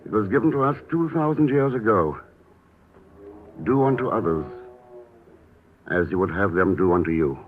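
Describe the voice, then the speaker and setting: An actor playing a calm, confident male secret agent, speaking in a measured way, 1940s radio-drama style. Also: fairly low pitch, natural voice. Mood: reflective. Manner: measured, unruffled. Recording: tinny old radio recording with faint hiss.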